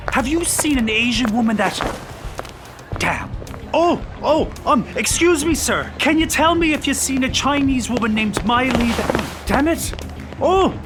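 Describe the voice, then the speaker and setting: An actor playing an earnest, polite, stubborn young man with a hesitant manner in an audio drama. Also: very high-pitched. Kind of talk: full-cast audio drama acting. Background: music.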